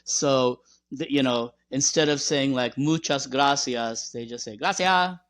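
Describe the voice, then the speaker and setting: A man hosting a podcast, explaining things in a measured, conversational way. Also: mid-range voice, fairly bright tone. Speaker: podcast host.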